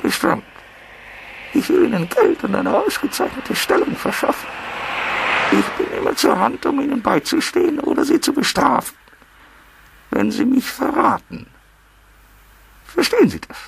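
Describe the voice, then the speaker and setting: An actor playing a cold, menacing blackmail mastermind in a radio crime play, with a husky disguised voice and clipped commands. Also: male voice, very high-pitched, huge pitch swings, moderate pace, long pauses, a little hiss or hum, heavily acted, rough recording. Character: menacing anonymous blackmail mastermind giving orders.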